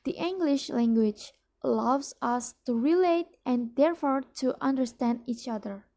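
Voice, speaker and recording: A woman's voice: high-pitched, normal speed, dark tone. A young Indonesian woman with an Indonesian accent, whose first language is Indonesian, reading a promotional speech for a mock business ad with careful delivery and frequent restarts. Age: young adult. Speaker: student presenting a mock business ad. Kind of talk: read-aloud promotional speech.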